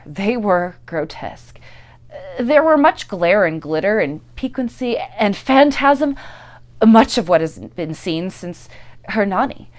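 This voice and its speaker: A woman narrating a classic literary tale in a theatrical, deliberate way, swelling loud and dropping to hushes. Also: medium pitch. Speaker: actor and narrator. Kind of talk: dramatic literary story narration.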